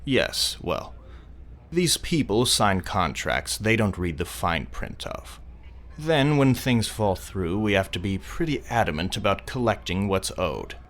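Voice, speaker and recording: A man playing an arrogant, defensive wealthy landlord in an audio drama, quick to take offence. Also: low-pitched, very animated, fairly bright tone, heavily acted. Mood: self-justifying. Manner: haughty, defensive, self-justifying. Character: arrogant, indignant male wealthy businessman, slippery landlord.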